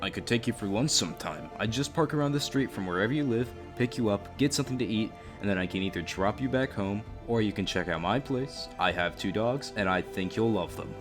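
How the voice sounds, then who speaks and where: Villain role, read by a male narrator: creepy adult man in an online cautionary tale, hushed, hesitant, falsely kind, low register, music underneath. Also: brisk, few pauses, close to an everyday voice. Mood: casual, persuasive.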